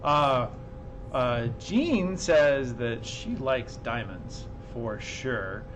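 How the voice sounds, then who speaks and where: Over clear background noise with a low rumble, a man speaks in a mid-pitched voice. He is a co-host, chatting casually as he passes on comments from listeners. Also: lively intonation, extremely slow. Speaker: co-host relaying chat comments. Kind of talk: casual chat.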